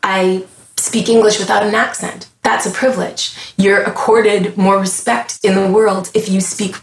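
A woman's voice: medium pitch, level tone, unhurried, roomy sound, slight background noise, poor audio. A woman with a Canadian accent, a podcast guest talking in an earnest, impassioned way and often restarting her thoughts mid-sentence. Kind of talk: casual conversation.

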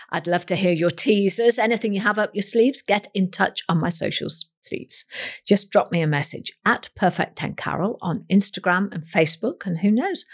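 A woman hosting a quiz in a scripted, playful style, speaking directly to listeners with a husky voice. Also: fairly low pitch. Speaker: quiz show host.

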